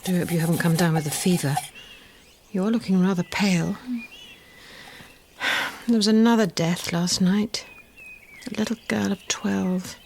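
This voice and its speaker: An actress playing a fussing, chiding but concerned governess in a gothic audio drama. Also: female voice, on the low side, fairly even intonation, measured pace, creaky, pauses often, darkish tone, slight background noise. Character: fussing, brisk, scolding older governess.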